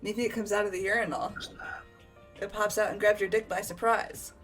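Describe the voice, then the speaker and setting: Young woman with a medium-pitched voice. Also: steady tone, moderate pace, fairly bright tone, faint music behind. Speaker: cast member in a group game playthrough. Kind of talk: voiced game dialogue and casual joking chat.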